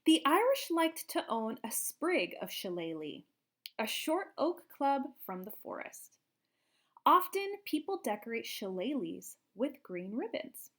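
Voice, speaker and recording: A woman reading a picture book aloud to young children in an expressive, explanatory way, like a teacher. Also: on the high side, unhurried. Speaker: children's storybook reader, teacher.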